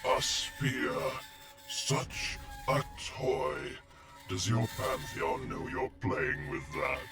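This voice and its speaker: A slow, haughty metallic overlord. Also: male voice, mid-range voice, huge pitch swings, vocal fry, heavily acted. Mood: mocking. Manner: mocking, pompous, theatrical. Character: ancient soulless metallic robot overlord, haughty and menacing. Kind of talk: scripted audio drama acting. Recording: music behind the voice.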